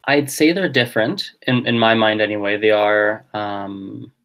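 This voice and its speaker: A man's voice: fairly low pitch, steady tone, unhurried, fairly bright tone. A young adult man whose first language is Gujarati, chatting as a guest in a casual interview, thinking aloud with lots of fillers. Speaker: guest language teacher and podcaster.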